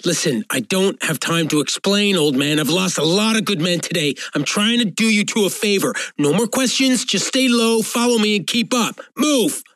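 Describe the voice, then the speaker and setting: An actor playing a terse, bossy soldier who guides survivors in an audio drama. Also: male voice, high voice, moderate pace, hardly any pauses, fairly bright tone, no echo. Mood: urgent, impatient. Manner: clipped, bossy orders. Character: terse, commanding male soldier guiding survivors.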